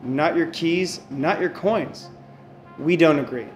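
A man's voice: on the high side, soft background music. Earnest man reading a letter. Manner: measured, earnest delivery to camera. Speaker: company spokesman. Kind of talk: scripted open letter reading. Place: indoor hardware lab.

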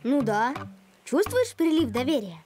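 An actor voicing a sensible, earnest young boy in an animated series, reasoning and encouraging like a peacemaker. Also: high voice, a little slow, over music.

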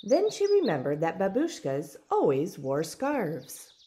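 A woman reading a storybook aloud in expressive, deliberate, dramatic narration. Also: fairly low pitch. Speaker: storybook reader. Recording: background noise with birdsong.

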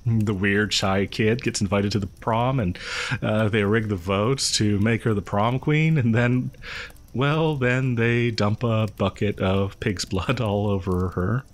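A man's voice: fairly low pitch, unhurried, close to an everyday voice. A man playing a friendly, awkward young local who shares grim rumors in a hesitant, rambling, conversational way for an audio horror roleplay. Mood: shy, friendly. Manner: hesitant, rambling, nervous chuckles.

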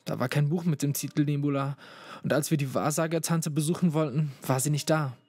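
An actor playing a hesitant young student investigating a disappearance, stumbling over fillers, in a fan audio drama. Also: male voice, on the high side, fairly quick, a little mellow, no echo.

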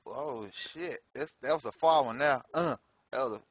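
A man's voice: medium pitch, normal speed, slightly rough, muffled recording. A man casually commenting on his gameplay in a slangy, profane way, laughing often. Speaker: gameplay streamer.

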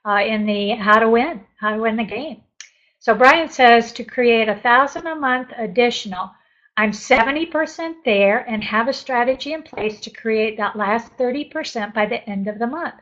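A woman's voice: a little high, bright, forceful tone, dull audio. A woman in her sixties coaching a group on a live webinar, upbeat and encouraging as she reads chat messages aloud and responds. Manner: upbeat, encouraging, laughs often. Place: home office with bookshelves.

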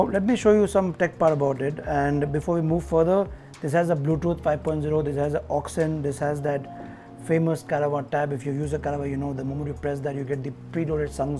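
A man in his forties with an Indian accent, giving a casual, conversational product review to viewers. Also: on the high side. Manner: conversational, addressing viewers directly. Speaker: tech reviewer presenting an unboxing. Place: indoor showroom-like room.

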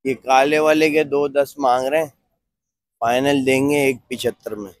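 A man's voice: medium pitch, fairly even intonation, moderate pace. A man from Pakistan selling cattle at an outdoor market, quoting prices in short, firm answers. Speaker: cattle seller.

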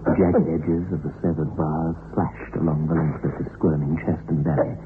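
Male narrator for vintage radio suspense: low-pitched, measured, thin narrow-band sound, music underneath. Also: flat delivery, a little hiss or hum. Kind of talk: scripted dramatic radio narration of a suspense story. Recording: phone-like narrow-band old radio recording. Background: background music.

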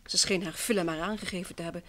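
A woman's voice: fairly low pitch, fairly even intonation, fast talker, fairly bright tone, a little hiss or hum, lightly acted. An actress playing a distraught, earnest wife in a vintage radio play, emphatic and sometimes hesitant as she insists. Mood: earnest, explaining. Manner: earnest, emphatic, hesitant and repeating herself when upset. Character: distraught, insistent wife convinced her missing husband lives.

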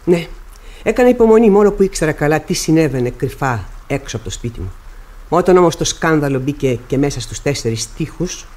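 An intense, anguished widow. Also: female voice, deep voice, expressive, a little mellow. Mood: bitter, anguished. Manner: formal, intense, dramatic. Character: proud, anguished middle-aged widow, a mother confessing. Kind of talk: Greek radio theatre acting.

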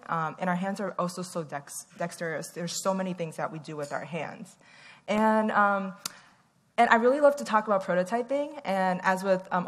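Soft-voiced woman speaking in a large hall. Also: on the low side. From Taiwan. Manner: frequent "um" fillers, earnest. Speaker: conference speaker. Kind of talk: stage talk presenting research. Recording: long hall reverb.

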